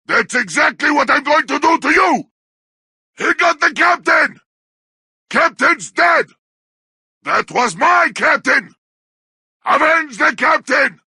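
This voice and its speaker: A man playing a brutish, bloodthirsty orc warrior in a video game, snarling crude taunts in a harsh, raspy growl. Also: extremely high pitch, animated, slow, pauses often, sharp tone, dry recording, raised voice, clean studio sound, full character voice. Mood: alarmed, urgent. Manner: snarling battle barks in crude, broken grammar. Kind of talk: video game combat barks.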